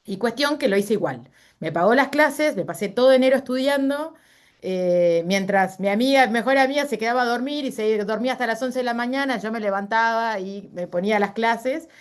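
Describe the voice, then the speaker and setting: A woman's voice: a little high, a little fast. Woman, Uruguayan accent, casual storytelling, fillers and restarts, middle-aged. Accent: Uruguayan Spanish. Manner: conversational, with frequent fillers and self-corrections. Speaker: guest. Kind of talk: casual interview, personal storytelling.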